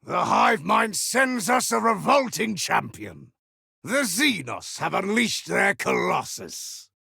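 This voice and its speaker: Gravelly, roaring space marine warrior. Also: male voice, high-pitched, big pitch swings, takes it slowly, no echo, clean studio sound, exaggerated voice. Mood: battle roar. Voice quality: gravelly, rough shout. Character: battle-hungry male space marine warrior, brash and zealous. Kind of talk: video game combat barks.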